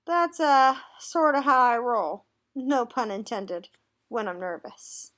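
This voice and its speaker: A woman's voice: on the high side, expressive, slow, a bit bright. A woman voicing a nervous, forgetful male armadillo teacher in a picture book, hesitant and bashful. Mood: nervous, sheepish. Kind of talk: picture book read aloud.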